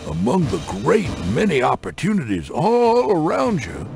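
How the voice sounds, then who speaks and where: A man's voice: a little high, huge pitch swings, normal speed, gravelly, darkish tone, faint music behind, full character voice. A man playing a folksy, jocular railroad mission briefer, narrating a game in a dramatic, theatrical style. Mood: sly, encouraging. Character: colorful folksy male railroad mission briefer, wry and jovial.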